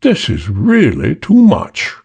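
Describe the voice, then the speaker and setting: A cold, unflappable stout man with a British accent, as a narrator plays him, drawling slowly and evenly. The voice is low and gravelly, and every calm word carries a quiet threat in a pulp crime story. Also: exaggerated voice. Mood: exasperated. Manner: slow, emotionless drawl.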